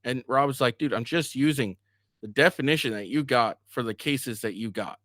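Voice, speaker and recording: A man commenting over a debate clip in a loose, mocking way, with lots of "uh"s between thoughts. His voice sits at an ordinary middle pitch, and the sound is dry and close. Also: normal speed, a bit bright, no echo. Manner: casual, mocking, with filler words. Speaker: commentary channel host.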